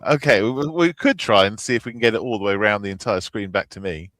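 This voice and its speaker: A man's voice: fairly low pitch, fast talker, a bit bright, dry recording, studio quality. A man on a live stream panel, teasing the host and coaching his pronunciation. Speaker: panel guest. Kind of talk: casual live-stream chat.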